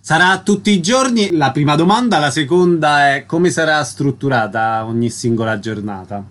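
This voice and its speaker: A man works as a radio host and interviewer. His voice sits a little high for a man, and he delivers questions and introductions in announcer-like phrases. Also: lively intonation, normal speed, a bit bright.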